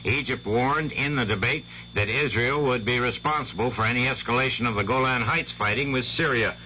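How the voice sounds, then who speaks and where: A man's voice: medium pitch, fairly quick, bright, forceful tone. A man reading world news headlines in a formal radio newsreader delivery. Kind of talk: 1970s radio news bulletin. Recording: muffled old radio broadcast with hum.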